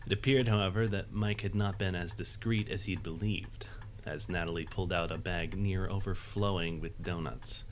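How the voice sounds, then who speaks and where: A low-voiced male narrator tells a scripted story for an audio drama. His delivery is that of a dramatic storyteller, over faint background noise. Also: fairly even intonation, moderate pace.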